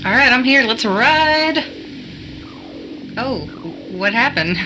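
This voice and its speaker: A woman's voice: fairly high pitch, lively intonation, slow pace, music in the background. A woman playing a wry, capable young studio intern in an audio drama. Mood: exasperated. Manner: wry, casual. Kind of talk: naturalistic audio drama acting.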